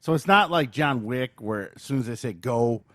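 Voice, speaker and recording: A man's voice: mid-range voice, expressive, normal speed, fairly bright tone, no room sound, clean studio sound. A man co-hosting a podcast, reviewing in a casual, informal way and thinking aloud as he goes. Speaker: podcast co-host. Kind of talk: casual chat, movie review.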